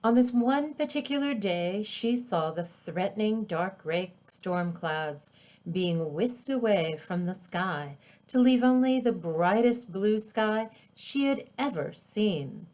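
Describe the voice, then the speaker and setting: A woman reading her own children's book aloud as storybook narration, with expressive, deliberate, drawn-out phrasing. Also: medium pitch.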